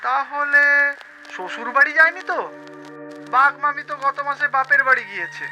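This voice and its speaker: A concerned elder-brother jackal from a cartoon. The pitch is extremely high and the manner questioning, with loud music underneath. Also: male voice, expressive, normal speed, low-quality recording. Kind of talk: cartoon voice acting.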